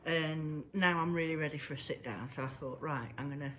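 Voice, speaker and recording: A woman chatting casually to camera about her knitting, a little breathless and wheezy, pausing often as she talks. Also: low-pitched, fairly bright tone, a little hiss or hum. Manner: halting, pauses often. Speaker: knitting vlogger. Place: at home indoors.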